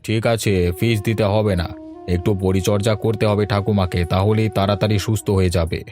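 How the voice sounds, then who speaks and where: A man's voice: fairly low pitch, flat delivery, a little fast, lightly acted. A man voicing a kindly, matter-of-fact village doctor in a moral-story cartoon. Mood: calm, advising. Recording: loud music under the voice.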